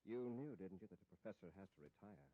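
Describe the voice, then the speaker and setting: An actor playing a steady, authoritative space patrol commander in old radio serial style, explaining with assurance. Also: male voice, low-pitched, fast. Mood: assured, instructive. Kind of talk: old radio drama acting. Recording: dull, dry old radio recording.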